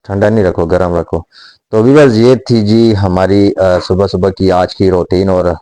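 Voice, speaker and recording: A man's voice: deep voice, steady tone, measured pace, dark tone. A man talking casually to his viewers at home as a family vlogger, chatty and teasing with his kids. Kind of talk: casual home vlog chat. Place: home, indoors.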